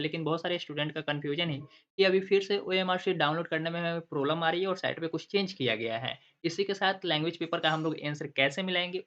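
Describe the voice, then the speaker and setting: A man's voice: a little high, a bit bright. Male teacher repeating every step, lo-fi. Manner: asks himself questions and repeats the answers like a teacher. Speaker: exam coaching teacher and channel host. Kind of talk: screen-recorded tutorial explaining step by step. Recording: dull lo-fi recording.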